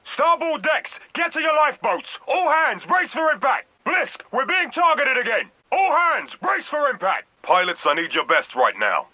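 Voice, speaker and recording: An actor playing a stern, commanding vice admiral in a video game, giving clipped, authoritative orders over a radio comms filter. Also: male voice, very high voice, wildly swooping pitch, moderate pace, few pauses, no echo, full character voice. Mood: urgent, shouting. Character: stern military vice admiral, grave, commanding fleet officer. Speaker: military commander character. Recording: dull band-limited radio sound.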